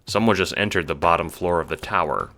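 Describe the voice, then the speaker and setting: A man's voice: low-pitched, quick pace, pushed and bright, a little hiss or hum. A man narrating a scripted audio drama in second person, with a wry, dramatic storytelling manner.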